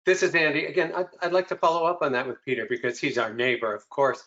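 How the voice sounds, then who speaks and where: A man's voice: on the high side, little pitch movement, fast, a bit bright. A man speaking informally as a board member, following up with colleagues in an online meeting. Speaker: school board member asking a question. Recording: muffled video meeting audio.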